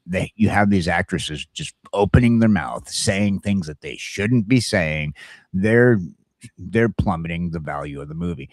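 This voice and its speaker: Blunt, deep-voiced man on a rant. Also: no echo, clean studio sound. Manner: blunt, ranting, swearing, repeats himself. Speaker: talk show host. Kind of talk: casual opinionated chat about geek culture.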